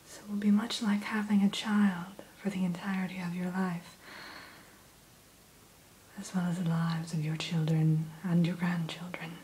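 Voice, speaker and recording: A woman whispering softly as a dragon hatchery keeper in an ASMR roleplay, gentle and a little formal, close to the mic. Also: fairly low pitch, flat delivery, slight background noise, low-quality recording. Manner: soft whisper throughout; gentle, unhurried, mildly formal.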